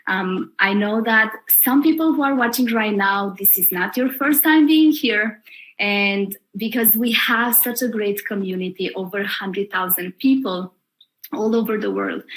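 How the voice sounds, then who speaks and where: A woman hosting a live webinar, welcoming the audience in an upbeat, deliberate way. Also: mid-range voice, a bit bright, low-quality recording. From Romania. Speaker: webinar host.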